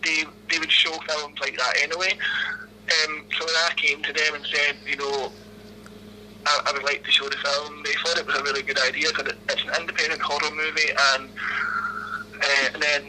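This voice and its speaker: A man's voice: a little high, level tone, fairly quick, sharp tone, slight background noise. A man in his twenties with a Glaswegian accent, answering interview questions over a phone call in a hesitant, laughing way, full of ums and restarts. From Scotland. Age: 20s.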